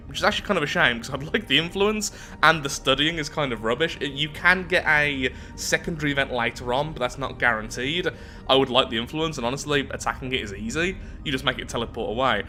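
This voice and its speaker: Bright-voiced man commentating over game music. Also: on the high side. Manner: chatty, thinking aloud, digressive. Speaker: gaming video host. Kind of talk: casual strategy game playthrough commentary with read-out event text.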